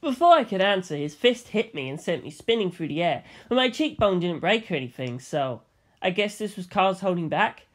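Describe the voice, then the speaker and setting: Mid-pitched voice, highly varied intonation, expressive first-person storytelling, audiobook reader. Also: normal speed.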